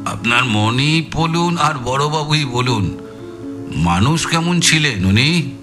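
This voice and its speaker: A man's voice: medium pitch, expressive, slow pace. A man acting a shrewd, genial investigator in an audio story, questioning with hums and tag questions. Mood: inquisitive. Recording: music bed behind the voice.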